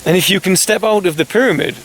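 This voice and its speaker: A man's voice: high voice, fast, a bit bright, a little hiss or hum, rough recording. A man giving an impassioned monologue straight to camera, urging the listener in a rambling way that restarts mid-thought. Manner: earnest, urgent, addresses listener directly as "you". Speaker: video blogger.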